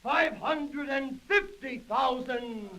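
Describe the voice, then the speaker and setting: An actor playing a reluctant Roman city prefect making bids in a 1930s radio drama. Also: male voice, very high-pitched, slow, sharp tone, heavily acted. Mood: reluctant bidding. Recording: noisy old radio recording with crowd.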